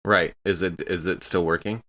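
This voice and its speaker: A man's voice: low-pitched, steady tone, moderate pace, sharp tone. A man playing a tabletop roleplaying game, improvising his character's actions in casual, informal speech.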